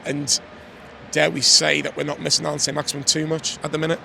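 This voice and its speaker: A hoarse man hosting a casual football fan chat outdoors, upbeat and asking questions. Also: on the high side, completely flat delivery, fast talker, sharp tone. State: slightly hoarse. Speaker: fan channel host. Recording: outdoor street noise.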